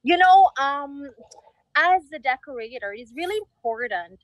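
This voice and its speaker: A woman's voice: sits high, expressive, a little slow, no room sound, muffled recording. A woman chatting casually as an interview guest, explaining her decorating work in a conversational way. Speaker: guest event decorator and designer.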